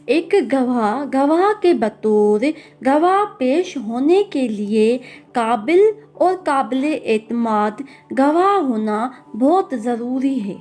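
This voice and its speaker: A woman with a high voice and a Pakistani accent delivers a scripted lecture. She reads very deliberately, setting out one point after another in an instructional manner. Music plays behind her voice. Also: a little mellow. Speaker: law lecturer. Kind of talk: scripted lecture, reading aloud bilingually.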